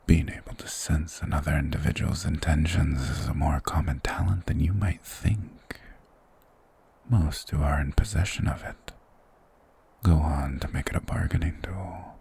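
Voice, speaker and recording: A man as a very deep, gravelly forest monster. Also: fairly even intonation, exaggerated voice. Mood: softly probing. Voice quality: breathy, gravelly whisper. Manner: formal, slow, measured, softly persuasive. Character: mysterious soft-spoken male monster hermit of the woods, courteous, eerie. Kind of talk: ASMR fantasy roleplay.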